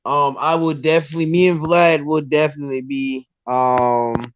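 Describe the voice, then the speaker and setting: Excitable young man, muffled sound. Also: on the high side, normal speed, a little hiss or hum. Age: 20s. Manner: excitable, rambling with many restarts and ums. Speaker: podcast guest. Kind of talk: casual sports chat.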